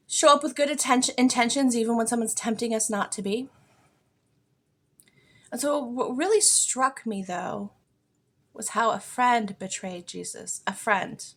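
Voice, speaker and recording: An American woman giving an informal, reflective spiritual monologue as a podcast host, speaking earnestly and leaning on key words. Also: on the high side.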